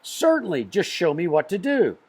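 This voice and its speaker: A man's voice: high voice, huge pitch swings, moderate pace, darkish tone, breathy edge, pitched above the performer's own voice, exaggerated voice. A man voicing a lonely, courteous storybook man who speaks earnestly and with shy delight. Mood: cheerfully helpful.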